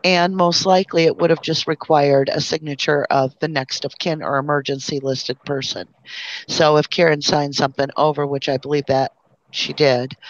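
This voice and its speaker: Woman hosting in dull, lo-fi audio. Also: low voice. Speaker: host reading chat questions. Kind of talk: live chat discussion. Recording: dull lo-fi online call audio.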